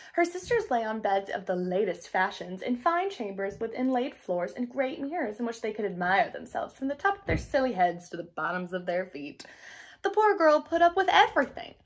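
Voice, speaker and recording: Young woman doing a kids read-aloud, higher voice, really animated storytelling. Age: young adult. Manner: animated, clearly paced storytelling. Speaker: storyteller reading a picture book aloud. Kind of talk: expressive children's read-aloud with explanatory asides. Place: small indoor room.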